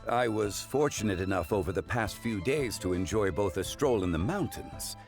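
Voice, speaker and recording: A man voicing a calm, learned gentleman consultant in a video game, with measured, courteous, eloquent speech. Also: fairly low pitch, gravelly, faint music behind. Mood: courteous, serene.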